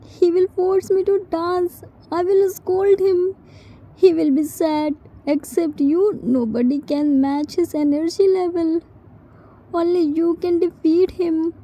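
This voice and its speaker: A woman plays a grieving young man in a fan-fiction drama. The voice is extremely high and very muted in tone. Lines come slowly, in halting, scolding and pleading phrases. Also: dark tone, low-quality recording.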